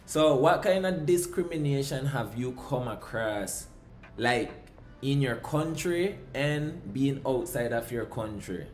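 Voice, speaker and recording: A man of middle pitch asks questions over a video call from a room indoors. He speaks casually, with restarts and pauses as he works out each question. Faint music plays behind him. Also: expressive. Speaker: interviewer, channel host.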